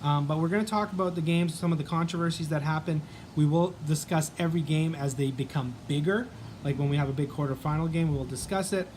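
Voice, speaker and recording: A man's voice: fairly high pitch, fast talker, darkish tone, slight background noise. A man with a Canadian accent hosting a casual sports vlog, chatting in a rambling way with many ums and restarts.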